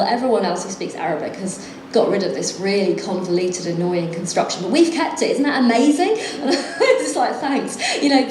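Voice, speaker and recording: A woman's voice: medium pitch, echoey room, background noise. A middle-aged woman author reading from her book and then chatting, self-deprecating and laughing often. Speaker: author and guest. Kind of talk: reading aloud then conversation. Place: event venue.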